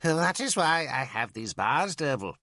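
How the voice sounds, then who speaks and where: A blind, rambling old druid for an audiobook; high-pitched, swooping, emphatic, easily indignant. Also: male voice, very animated, unhurried, sharp tone, no echo, studio quality, heavily acted. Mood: mad, fearful. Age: older.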